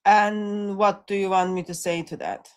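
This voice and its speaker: A woman's voice: mid-range voice, fairly even intonation, moderate pace. A woman co-hosting a live stream who talks bluntly and teases. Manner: blunt and teasing. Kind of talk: casual live-stream chat.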